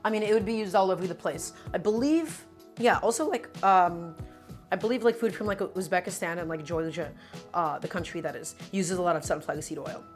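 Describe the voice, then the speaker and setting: A woman's voice: on the low side, a little fast, faint music behind. A woman whose first language is Russian, hosting a live cooking stream from her home kitchen. She explains each step in a chatty, teaching way. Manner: chatty, instructive, often says "my friends".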